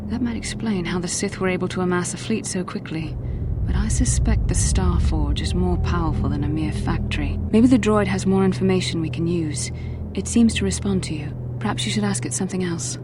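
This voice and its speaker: An actress playing an earnest, analytical young female Jedi companion in a video game, with formal, measured, thoughtful speech. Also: lowish voice, close to an everyday voice. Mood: pensive, speculating. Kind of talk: scripted game dialogue. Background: game music.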